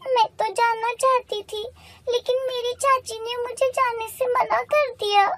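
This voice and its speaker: Very high, meek little orphan girl. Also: fairly even intonation, measured pace, fairly bright tone, dry recording. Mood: meek, polite. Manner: pleading, meek, childlike. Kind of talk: animated moral story dialogue.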